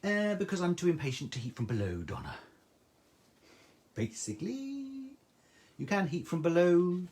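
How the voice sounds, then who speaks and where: A man's voice: sits high, sing-song, moderate pace, a little mellow. Chatty man demoing crafts. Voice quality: soothing. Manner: chatty, reads out viewer comments. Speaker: craft demonstrator. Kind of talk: live craft tutorial.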